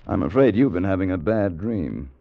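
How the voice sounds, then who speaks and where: An actor playing a skeptical gentleman drawn into adventure, with a theatrical manner, in a 1950s radio drama. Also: deep voice, lively intonation, creaky. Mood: incredulous. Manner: halting, incredulous, theatrical. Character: skeptical, hesitant gentleman friend turned awed adventurer. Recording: muffled, noisy old radio broadcast.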